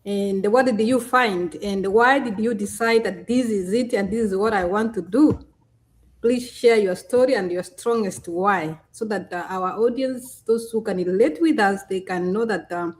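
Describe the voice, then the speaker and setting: A woman's voice: medium pitch, a little mellow. Woman hosting a live stream. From Kenya. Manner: chatty, many fillers and restarts.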